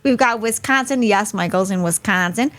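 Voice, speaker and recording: A woman's voice: mid-range voice, normal speed, a bit bright. A woman hosting a live webinar over a video call, chatty and friendly, laughing easily. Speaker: host.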